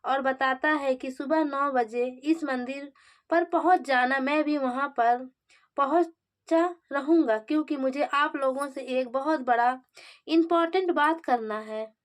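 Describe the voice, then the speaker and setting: A woman's voice: high voice, a little slow. A woman voicing an earnest, determined young man in a dramatic serial retelling. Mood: earnest, insistent.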